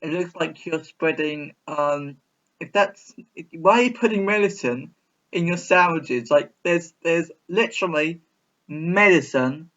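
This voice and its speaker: A man's voice: fairly high pitch, measured pace, a bit bright, highs cut off. A man giving casual, rambling video commentary in a chatty, repetitive, mock-baffled way. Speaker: reaction video host.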